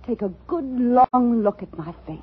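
An actress playing an unhinged, despairing woman in a radio thriller, speaking in a halting, desperate way. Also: a little high, lively intonation, breathy edge, heavily acted. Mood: menacing despair. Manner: slow, halting, desperate delivery. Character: unhinged, despairing woman escaped from a mental institution. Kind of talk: 1950s radio thriller acting. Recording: tinny, noisy old radio recording.

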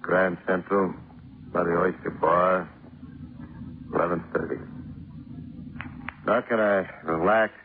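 A man's voice: deep voice, fairly even intonation, slow, pauses often. An actor in a 1950s radio detective drama playing a sullen, stubborn, tough henchman who talks in a terse, surly way. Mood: worn down. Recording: old radio recording, narrow band, faint noise.

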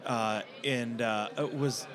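Man, host, casual talk, halting, lots of fillers. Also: mid-range voice, steady tone, a crowd in the background. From United States. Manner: halting, searching for words with many ums. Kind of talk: casual discussion.

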